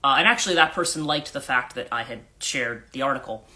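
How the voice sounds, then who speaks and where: A woman chats casually to her livestream viewers, greeting them as they join. Her voice is husky and congested from allergies, with a bright, forward edge that cuts through. She rambles gently with many fillers and keeps clearing her throat. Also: fast talker. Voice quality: husky, a register lower than usual. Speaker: life coach hosting a livestream. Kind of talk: casual morning livestream chat.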